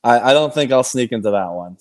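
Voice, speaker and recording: A man's voice: medium pitch, expressive, fast, a little mellow. A man from New England, an amateur angler, giving short, laid-back answers in a casual interview. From New England, near Massachusetts. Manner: laid-back, brief answers, chuckles often. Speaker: amateur tournament angler, guest.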